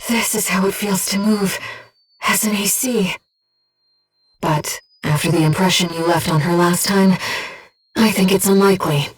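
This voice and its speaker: A woman voicing a calm, devoted, disembodied female guide in a video game, speaking softly and precisely as she supports a pilot on missions. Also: mid-range voice, slightly rough, distant mic. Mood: composed, focused. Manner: measured, precise, earnest. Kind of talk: video game voice acting, tactical briefings.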